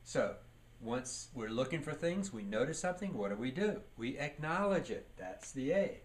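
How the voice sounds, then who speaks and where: A man's voice: mid-range voice, very animated, normal speed. A man giving a leadership training lecture, teaching by posing questions and answering them, with emphasis on key words. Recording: clearly noisy recording.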